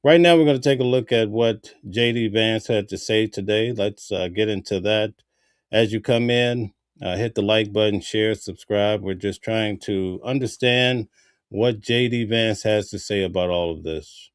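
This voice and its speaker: A man's voice: fairly low pitch, normal speed, clear, resonant tone, no echo, studio quality. A man hosting a live news stream, reading viewer comments aloud and chatting loosely between clips. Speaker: livestream host.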